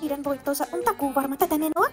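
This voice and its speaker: Woman as a high-pitched, brisk cartoon reporter. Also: loud music behind the voice, close to an everyday voice. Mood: bossy. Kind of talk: cartoon fandub acting.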